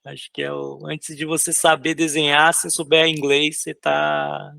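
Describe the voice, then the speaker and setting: A man from São Paulo talks in a relaxed, halting way, piling up fillers and restarts while he sketches. His voice sits a little high with a gravelly, creaky edge. The sound is close and dry. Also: dry recording. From São Paulo, Brazil. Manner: loose, hesitant, lots of filler words and restarts. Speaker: concept artist guest. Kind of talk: casual interview while drawing.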